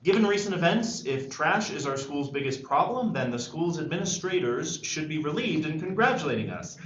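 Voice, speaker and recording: A man reading the part of an idealistic, easily frustrated high school senior at a screenplay table read. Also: very animated, normal speed. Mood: bright announcer. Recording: dull echoey room, noisy.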